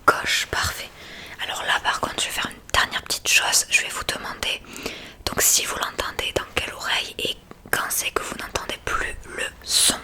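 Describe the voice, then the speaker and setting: A woman whispering softly and breathily in an ASMR roleplay as a gentle, reassuring hearing examiner. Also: normal speed.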